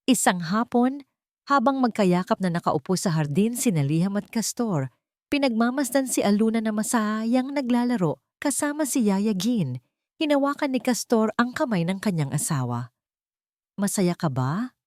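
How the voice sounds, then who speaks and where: A woman narrating a scripted romance story in a deliberate, sentimental way with dramatic pauses. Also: fairly high pitch, animated, darkish tone, dry recording, studio quality.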